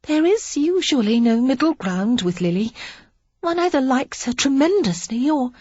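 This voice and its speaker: A narrator voicing a shy, timid young noblewoman, speaking hesitantly and softly. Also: high voice, very animated, normal speed, dry recording, dull audio, pitched above the performer's own voice. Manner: hesitant, soft, breathy. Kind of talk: audiobook character voice.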